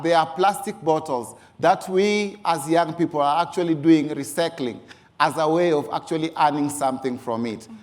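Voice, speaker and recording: A man from Kenya with a Kenyan accent, a youth organization founder giving a personal presentation and often stressing "actually". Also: fairly high pitch. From Mombasa, Kenya. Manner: frequent emphatic use of "actually". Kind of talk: personal presentation with photo slides.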